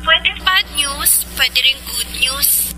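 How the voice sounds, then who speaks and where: High-voiced teasing young Filipina. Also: female voice, slow pace. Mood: playful, upbeat. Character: young woman working abroad, teasing, eager to come home. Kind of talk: Filipino radio soap acting. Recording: noisy radio drama with vehicle ambience.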